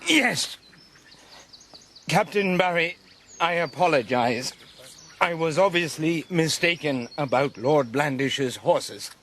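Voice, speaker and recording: A high-voiced, foppish lord. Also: male voice, expressive, slow pace, long pauses, fairly bright tone. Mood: flustered. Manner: affected, haughty. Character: foppish, haughty young English lord, easily flustered. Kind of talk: radio drama acting.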